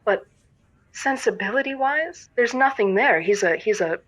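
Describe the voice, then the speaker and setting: A woman playing an exasperated, tightly wound spy who poses as a businesswoman, calling a radio show in an audio comedy with deliberate, deadpan indignation. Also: fairly high pitch, dry recording. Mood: indignant. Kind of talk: audio comedy acting as phone-in caller.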